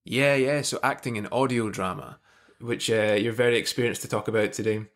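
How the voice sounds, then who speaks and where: A man's voice: on the low side, steady tone, a little fast. A man in his thirties hosting a podcast in a casual, conversational interviewing style. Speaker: podcast host. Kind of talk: friendly interview, casual chat. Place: indoor room on a video call. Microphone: video call.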